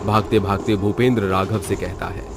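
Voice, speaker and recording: A man's voice: fairly low pitch, steady tone, normal speed, background noise. A man reading scripted horror story narration as the narrator. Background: music.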